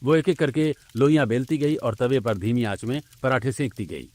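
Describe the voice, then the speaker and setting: A man's voice: medium pitch, moderate pace, a little mellow. A man narrating a scripted domestic story for a cartoon.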